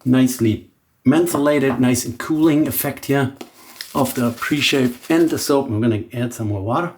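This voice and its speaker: A man's voice: medium pitch, normal speed, a little hiss or hum. A middle-aged man from Germany, a native German speaker with a German accent, talking casually through a shaving demonstration and thinking aloud as he goes. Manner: relaxed, thinking aloud with frequent restarts. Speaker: shaving vlogger. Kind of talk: casual product demo chat.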